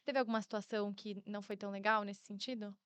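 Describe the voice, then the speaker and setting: Quick, clear-voiced Brazilian woman interviewer. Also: medium pitch, fairly even intonation, fairly bright tone, no echo. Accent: Brazilian Portuguese. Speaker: podcast co-host, interviewer. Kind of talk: casual interview questioning.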